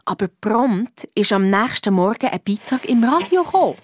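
A stubborn cheeky schoolgirl detective, high voice, swiss accent, kids radio play. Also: normal speed, very noisy. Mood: eager, confiding. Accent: Swiss German. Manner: animated, colloquial storytelling. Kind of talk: German audio drama narration and dialogue.

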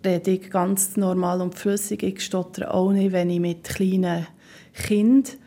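Slow Swiss woman who stutters. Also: fairly low pitch, fairly even intonation, dark tone. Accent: Swiss German. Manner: stutters, with repeated syllables and word blocks. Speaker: interviewee. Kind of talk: personal interview.

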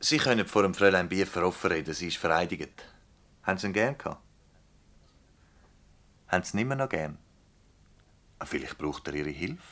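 An actor playing a probing, impatient public prosecutor in a Swiss German dialect radio play, questioning in a formal, insistent way. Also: male voice, deep voice, expressive, a little fast, long pauses, fairly bright tone. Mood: pressing.

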